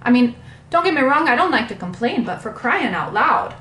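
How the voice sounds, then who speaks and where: A woman playing a chatty, folksy artist, rambling with drawn-out exclamations in an interview. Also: fairly high pitch, very animated, bright, forceful tone, reverberant. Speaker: interview guest.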